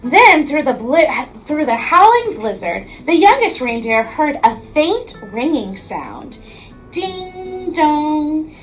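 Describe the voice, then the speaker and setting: An American woman, a teacher, reading a children's storybook aloud with expressive narration. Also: high-pitched, slow pace, soft background music.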